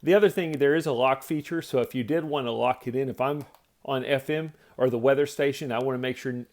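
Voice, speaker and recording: A man with a mid-pitched voice that often settles into creak talks through a product demonstration. His delivery is plainspoken and casual, explaining each feature in simple steps. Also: fast talker, darkish tone. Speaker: product reviewer.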